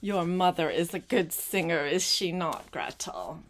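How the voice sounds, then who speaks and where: An older woman reading a storybook aloud as a grandmother, expressive and often turning to speak directly to a child. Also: on the low side, measured pace, slight background noise.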